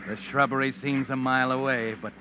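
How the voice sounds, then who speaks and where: An actor playing an ominous, all-knowing mystery narrator who taunts the listener in insinuating, dramatic second person. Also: male voice, medium pitch, steady tone, fairly quick, noisy background, lightly acted. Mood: tense, ominous. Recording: muffled old radio recording, music behind.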